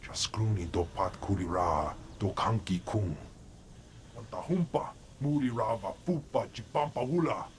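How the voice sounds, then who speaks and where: A brusque male alien from a space game, voiced by an actor, speaking in an invented tongue. His voice sits low, with faint ambient noise behind it. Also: normal speed, lightly acted. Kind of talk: video game dialogue in an invented alien language.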